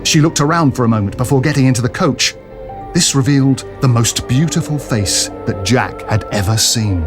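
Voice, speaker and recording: A man's voice: mid-range voice, normal speed. A man giving a dramatic scripted narration of a period adventure story. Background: music.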